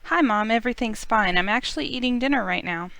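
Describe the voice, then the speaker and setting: A woman's voice: on the high side, fast talker, creaky, a bit bright, no echo. A woman voicing a dutiful, weary young heroine as she reads a visual novel aloud earnestly. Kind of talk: read-aloud visual novel narration and dialogue.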